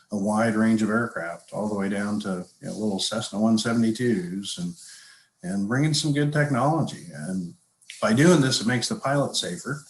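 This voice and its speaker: An older man explaining his field as an interview guest, halting now and then as he thinks aloud. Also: lowish voice, a little hiss or hum. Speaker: guest, avionics industry professional and pilot. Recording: echoey, roomy call audio.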